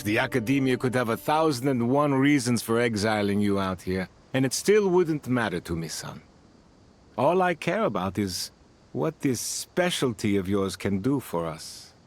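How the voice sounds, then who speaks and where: Kindly gruff desert band leader, folksy, wry, expressive mid-pitched voice, video game drama. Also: male voice, moderate pace. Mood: firm, fatherly. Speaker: video game character actor. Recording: music under the voice.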